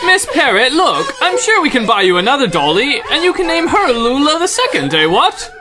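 A woman's voice: high voice, huge pitch swings, brisk, over music. A woman playing an eccentric, fussy but unflappable sidekick with a quizzical verbal tic in a comedic audio drama.

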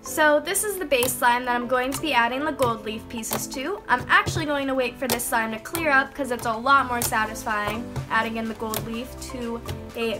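A young woman getting over a cold, hosting a slime-making tutorial in a bubbly, enthusiastic voice-over, explaining each step. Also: a little high. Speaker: slime-making channel host. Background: background music.

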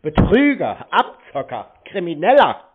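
A man's voice: on the high side, wildly swooping pitch, measured pace, slightly rough, phone-quality sound. A man in mocking phone banter with callers, answering in blunt, terse, taunting phrases. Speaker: prank-taker of scam calls.

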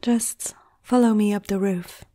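Gentle female vampire, played by a woman, ASMR roleplay. Hushed, husky, unhurried, soothing, medium pitch. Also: steady tone, close to an everyday voice. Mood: gentle, earnest. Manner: soft-spoken, often whispering, slow and soothing. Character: gentle, protective female vampire comforting a human.

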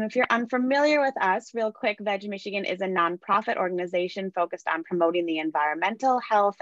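A young woman with a low voice reads a prepared introduction in a steady, scripted way. Her audio is dry and muffled, like a typical video call connection. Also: no room sound. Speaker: nonprofit media and outreach manager. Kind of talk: reading an event introduction. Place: indoor room, video call.

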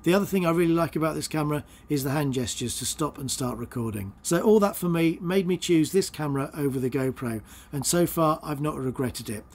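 Middle-aged man sailing, high voice. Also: brisk, hardly any pauses. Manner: chatty, gives calm helm commands. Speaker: boat owner and channel host. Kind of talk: casual vlog narration and sailing instruction. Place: open sailboat cockpit on the water. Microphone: action camera on the boat.

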